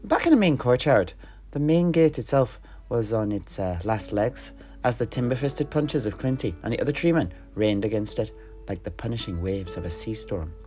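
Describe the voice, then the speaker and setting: A man narrating a fantasy battle tale in character, theatrically and with deliberate, dramatic pauses. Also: medium pitch, animated, a little mellow, faint music behind. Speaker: storyteller guest in an in-character fantasy chat.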